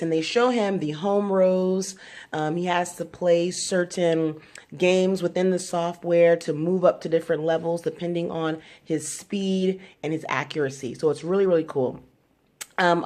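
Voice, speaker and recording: A woman with a notably low voice hosts her own home vlog. She lays out her son's homeschool plan calmly and step by step, often pausing on fillers as she thinks. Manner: unhurried, explaining step by step with frequent fillers. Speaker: homeschooling mother and channel host. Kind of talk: casual explanatory vlog.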